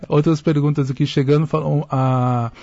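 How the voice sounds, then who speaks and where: A man's voice: a little high, little pitch movement, normal speed, vocal fry, warm tone, no room sound. A man hosting a live radio interview, chatting and reading out listener messages. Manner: conversational, reads out listener messages. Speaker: radio host. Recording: dull lo-fi radio audio.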